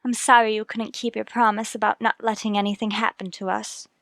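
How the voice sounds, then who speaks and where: A woman's voice: mid-range voice, little pitch movement, fairly quick, gravelly, fairly bright tone, no echo. A woman acting in a radio murder mystery, playing an earnest, emotional character with dramatic delivery. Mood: resolute, hurt.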